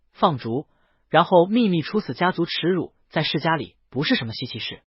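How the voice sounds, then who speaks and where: A man reads a first-person story aloud in a fairly high, breathy voice, his pitch rising and falling with animated expression. The recording is dry and a little dull, like a modest home setup. He tells it as a steady, scripted audiobook narration. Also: dry recording.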